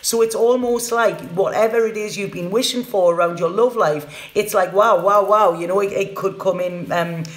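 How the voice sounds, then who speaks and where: A woman's voice: mid-range voice, normal speed, hardly any pauses. A woman doing a live tarot reading for viewers, chatting casually and often ending her lines with "okay?". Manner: chatty, tags sentences with "okay?", repeats words. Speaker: tarot reader. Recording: roomy echoey room.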